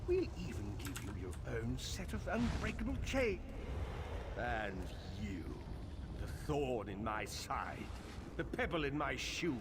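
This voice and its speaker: An actor playing a sinister male necromancer who taunts his captives in a slow, theatrical way, heard over steady game noise. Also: mid-range voice. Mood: mocking. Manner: taunting, theatrical, drawn-out delivery. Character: scheming sinister male necromancer overlord, mocking.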